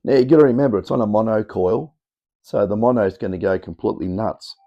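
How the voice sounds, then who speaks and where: Middle-aged Australian bloke, gravelly and husky, offhand workshop chatter, camera mic in a cluttered room. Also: male voice, lowish voice, quick pace, dark tone, muffled recording. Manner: rambling, offhand, chatty explaining. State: croaky from talking all day. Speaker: electronics hobbyist host. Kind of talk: casual workshop demo. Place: cluttered home workshop, indoors. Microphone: camera mic on tripod.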